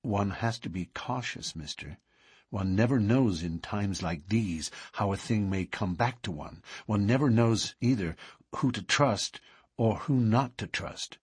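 Man voicing a hushed, troubled older man, husky. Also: lowish voice, very animated, measured pace, dry recording, clean studio sound, exaggerated voice. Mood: hesitant, cautious. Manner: hesitant, guarded, given to anguished outbursts. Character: cautious, bitter older man, troubled lodge brother. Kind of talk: audiobook character dialogue.

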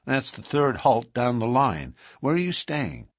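Man doing a chatty friendly local fella handing out advice, husky, lively. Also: mid-range voice, unhurried, dry recording, clean studio sound, pitched above the performer's own voice. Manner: easygoing, advising.

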